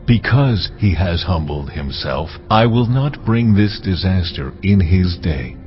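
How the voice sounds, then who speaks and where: Divine voice for scripture drama: very low, solemn, unhurried authority, music behind. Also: male voice, lively intonation. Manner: grave, deliberate. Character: God, solemn, majestic and slow. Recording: dull audio with music behind.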